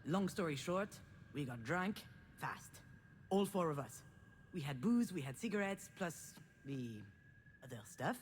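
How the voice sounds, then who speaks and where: A woman's voice: low-pitched, animated, unhurried, a little hiss or hum. A woman playing a self-deprecating, wistful adult daughter, narrating her family memories in a reflective, conversational first-person voice for a visual novel. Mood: confiding, uneasy. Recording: prominent music under the voice. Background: loud music.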